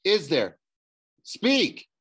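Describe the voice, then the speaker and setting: Troubled young boy played by a man, raised urgent voice, bitter edge, slow measured delivery. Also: on the low side, a bit bright. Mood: bitter, desperate. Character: grim, troubled boy about eleven. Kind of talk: audiobook character voice.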